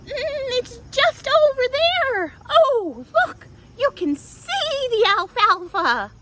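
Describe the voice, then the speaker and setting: Woman voicing an eager, high-pitched little girl goat. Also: big pitch swings, slow, exaggerated voice. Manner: exclaiming, humming little "mm" and "oh". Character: little girl goat, youngest, keen-nosed, eager and fearless. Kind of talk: children's storytelling character voice. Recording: outdoor park background noise.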